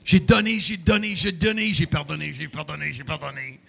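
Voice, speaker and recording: A middle-aged man, preaching a sermon in a church with emotional, animated delivery and sudden outbursts. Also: a little high, fairly quick, gravelly, husky. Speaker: pastor.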